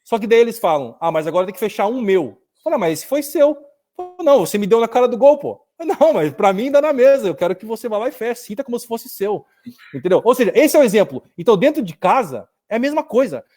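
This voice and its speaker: A Brazilian man with a Brazilian Portuguese accent speaking from his office in a casual live discussion, telling stories in an animated way and often calling his listener "cara". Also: high voice, fast talker. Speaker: business owner and co-host. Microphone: video call.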